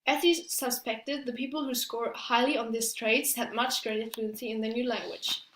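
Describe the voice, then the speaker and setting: A woman's voice: a little high, fairly even intonation, normal speed, fairly bright tone, a little hiss or hum. Hesitant young woman presenting, echoey room. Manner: hesitant, many restarts and fillers, non-native English phrasing. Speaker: student presenter. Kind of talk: class presentation.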